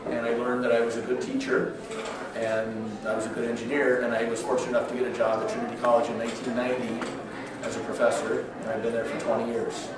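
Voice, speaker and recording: A man in his fifties from Northern California, hoarse with a cold, giving an earnest campaign speech in a restaurant. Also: medium pitch, quick pace, noisy background. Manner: earnest, steady, heavy with figures. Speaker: political candidate. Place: restaurant function room. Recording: echoey room from far off, crowd murmur. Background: crowd chatter.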